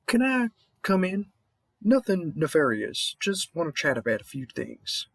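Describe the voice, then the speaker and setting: A man's voice: a little high, fairly bright tone, dry recording, full character voice. A man playing a weary, kindly veteran vampire hunter in a roleplay audio drama, with a Southern US accent and a rambling, slightly mumbled, reassuring manner. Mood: amused, friendly.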